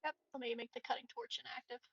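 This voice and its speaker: A woman's voice: a little high, fairly even intonation, fast talker, gravelly, breathy edge, no echo, muffled recording. A woman playing a tabletop game, chatting in an easygoing, self-deprecating way. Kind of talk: casual chat.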